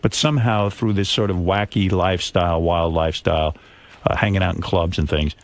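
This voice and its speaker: A man's voice: deep voice, steady tone, fairly quick. A middle-aged man hosting a radio interview, asking blunt, teasing, probing questions. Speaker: radio show host.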